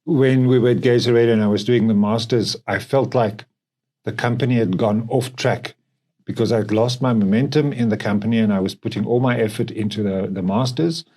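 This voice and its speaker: A man's voice: lowish voice, fairly even intonation, brisk, dark tone. A middle-aged man with a South African accent, speaking as a company co-founder in a reflective podcast conversation.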